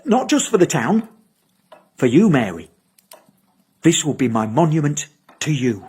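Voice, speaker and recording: An actor playing an eccentric, devoted Victorian husband with grand, earnest ambitions, in a theatrical audio drama style. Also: male voice, fairly high pitch, sing-song, unhurried, darkish tone, slightly rough, full character voice. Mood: pleading, visionary. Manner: grand, rhetorical, declaiming.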